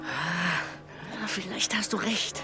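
An actor playing a harried, hard-working scholar in a children's radio play, effortful and easily exasperated. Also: male voice, high-pitched, slow pace, over music. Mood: urging, unsure.

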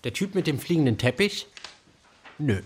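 An actor playing a playful, teasing, showy star hairdresser visiting a school in a children's radio play. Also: male voice, fairly high pitch, sing-song, moderate pace, a little hiss or hum.

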